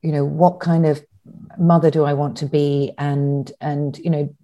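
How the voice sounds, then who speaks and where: A woman speaks as an interview guest. Her voice sits low and sounds warm and mellow. She pauses often, restarts her sentences and fills gaps with ums as she thinks back. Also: flat delivery. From England. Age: 60s. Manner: reflective, with many restarts and ums. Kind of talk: conversational interview.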